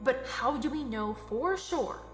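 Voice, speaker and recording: An actress playing a skeptical young friend in an audio drama, questioning and sardonic. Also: female voice, sits high, animated, unhurried. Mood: earnest, insistent. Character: skeptical, wisecracking young friend tagging along on a mission. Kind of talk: naturalistic audio drama acting. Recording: roomy echo with music behind.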